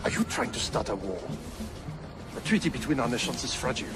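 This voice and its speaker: A man's voice: mid-range voice, lively intonation, fast talker, loud music behind the voice, natural voice. A man playing a callous, pompous colonial governor in a video game, dismissive and speaking. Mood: stern, suspicious. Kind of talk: dramatic video game acting.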